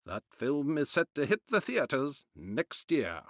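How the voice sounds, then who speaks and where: An actor playing a formal period newsreader, reading newspaper reports in a declamatory narrating style. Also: male voice, mid-range voice, huge pitch swings, measured pace, dry recording, studio quality. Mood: formal, measured. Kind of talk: scripted news narration.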